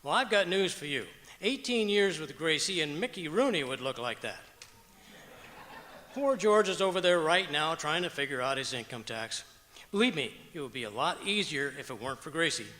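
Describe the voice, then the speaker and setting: A man's voice: a little high, animated, moderate pace, bright, forceful tone. A man playing a sarcastic, grumbling husband who delivers sardonic punchlines in an old-time radio comedy on stage. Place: stage of a performing arts auditorium. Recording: echoey auditorium with long reverb.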